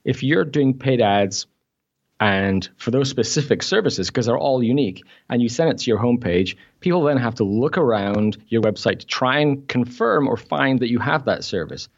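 A man's voice: medium pitch, expressive, moderate pace, gravelly, highs cut off. A man in an interview, with a mixed British and Canadian accent, chatting easily, laughing often and using many fillers. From Scotland. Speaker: guest. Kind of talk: podcast interview.

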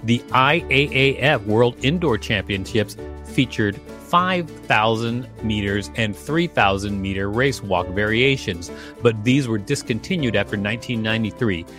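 A man's voice: fairly low pitch, unhurried, fairly bright tone, faint music behind. A man co-hosting a podcast, reading an article aloud with casual commentary. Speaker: podcast co-host.

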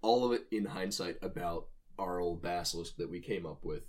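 A man's voice: sits low, moderate pace, darkish tone. A man co-hosting a podcast in casual, joking chat with rambling asides. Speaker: podcast co-host.

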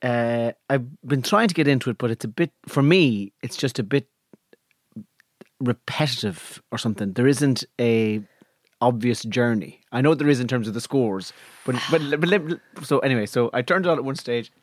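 A man's voice: medium pitch, normal speed, dry recording, studio quality. A man co-hosting a podcast, rambling and hesitant, often restarting his sentences. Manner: rambling, hesitant, self-mocking. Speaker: podcast co-host. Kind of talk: casual chat.

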